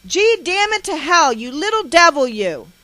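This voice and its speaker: A woman storyteller voicing an enraged, abusive mother, harsh and shouting, who scolds and threatens. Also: sits high, sing-song, moderate pace, no echo, voice pushed up, heavily acted. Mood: furious scolding.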